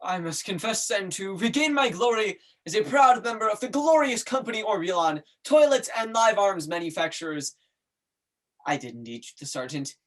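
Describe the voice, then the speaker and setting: Company loyalist for a stage comedy, young male actor: high voice, formal, self-important, declamatory. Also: animated, normal speed, fairly bright tone. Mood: grandiose. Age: young adult. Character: grandiose company man confessing with stiff loyalty. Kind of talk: student stage acting.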